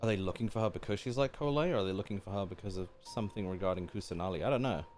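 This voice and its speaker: A man's voice: sits low, very fast, a little mellow, soft background music. A man giving casual, blunt, slangy live reactions to a game as a streamer.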